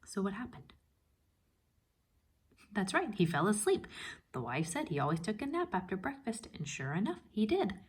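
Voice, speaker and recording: A woman teacher reading a children's story aloud expressively, pausing to ask questions and explain. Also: mid-range voice, very animated, slightly rough. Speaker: first grade teacher.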